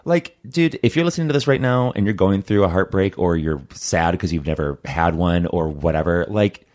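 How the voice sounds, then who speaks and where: Deep-voiced man chatting on a podcast. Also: normal speed, a little mellow. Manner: rambling, reflective, many fillers and restarts. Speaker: podcast co-host. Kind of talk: casual conversational chat.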